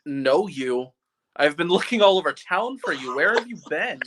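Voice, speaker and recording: A man's voice: fairly high pitch, animated, normal speed, noisy background, natural voice. A man playing a folksy, concerned small-town policeman in a live radio play. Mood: concerned, exasperated. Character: gruff but concerned small-town policeman, old friend.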